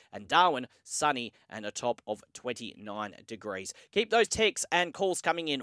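An Australian man hosting a morning sports radio show, reading news, listener texts and the weather in a brisk manner. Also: on the high side, a bit bright, dry recording, studio quality. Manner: brisk, reads texts and weather, repeats phone numbers. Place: radio studio. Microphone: studio microphone.

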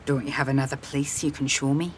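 A calm gentleman from an audiobook romance, played by a woman narrator. He speaks low and quietly, with gallant courtesy and a gently teasing manner. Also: normal speed, a little hiss or hum, natural voice. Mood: soft, pointed. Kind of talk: audiobook character dialogue.

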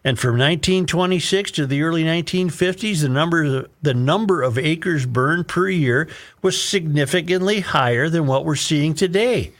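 A man's voice: on the high side, moderate pace. Opinionated American man hosting talk radio. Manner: opinionated, emphatic, repeats phrases for emphasis. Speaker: talk show host. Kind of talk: reading an article aloud with commentary.

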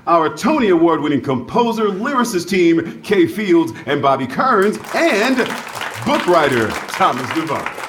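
A man's voice: a little high, sing-song, unhurried, fairly bright tone, slight background noise. A man playing a smug, self-important Broadway producer in an audio comedy, boastful and condescending. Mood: boastful, showy. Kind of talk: scripted audio comedy acting. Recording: roomy, music and crowd behind.

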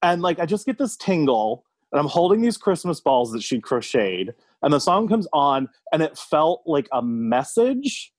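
A man's voice: a little high, very animated, moderate pace, few pauses, no echo. A man from the United States, a podcast guest, telling a personal story in a casual, thoughtful, somewhat hesitant conversational way.

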